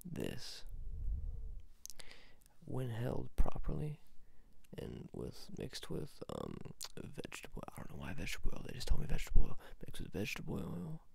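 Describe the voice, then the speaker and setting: A man playing a rambling, shady salesman of magical rings in a hesitant, offhand, soft-spoken ASMR roleplay. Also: medium pitch, huge pitch swings, normal speed, creaky, breathy edge, full character voice. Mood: offhand, mysterious.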